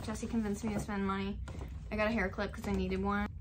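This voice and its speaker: A woman's voice: medium pitch, little pitch movement, a little fast, background noise. A young woman chatting playfully in a vlog, filled with "like", filmed on a handheld phone. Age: young adult. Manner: playful, laughing, lots of "like". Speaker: vlogger. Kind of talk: casual vlog chat. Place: hotel room, indoors. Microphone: handheld phone camera.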